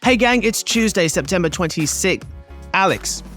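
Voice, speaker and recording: A man's voice: high-pitched, expressive, moderate pace, loud music behind the voice. A man hosting a business podcast in a cheery, jokey, chatty way. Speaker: podcast host.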